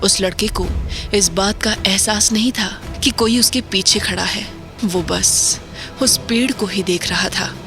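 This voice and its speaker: Woman narrating a horror audio drama. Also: mid-range voice. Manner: measured, suspense-building storytelling. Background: background music.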